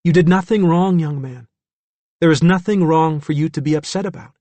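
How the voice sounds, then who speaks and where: Man voicing a gentle, firm woman doctor, raised pitch. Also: no room sound. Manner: reassuring, measured, in control.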